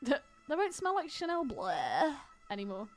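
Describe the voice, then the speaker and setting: A woman's voice: high-pitched, big pitch swings, moderate pace, over a noisy crowd. A woman co-hosting a podcast, chatting casually about a film with teasing, sweary banter. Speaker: podcast co-host. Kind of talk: casual film commentary chat.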